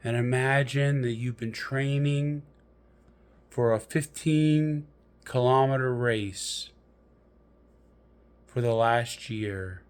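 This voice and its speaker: Guy doing guided meditation, mid pitch, really slow, tells it like a story w lots of pauses. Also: smooth. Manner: deliberate, measured storytelling with pauses.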